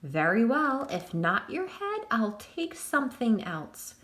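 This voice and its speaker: A woman voicing a girl who puts on a deep, croaking ghost voice. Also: sing-song, fairly bright tone, full character voice. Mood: menacing.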